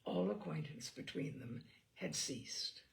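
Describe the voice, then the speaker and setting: A woman narrating a literary novel in an expressive, measured storytelling manner with deliberate pauses. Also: low voice, vocal fry, a little hiss or hum. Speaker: audiobook narrator.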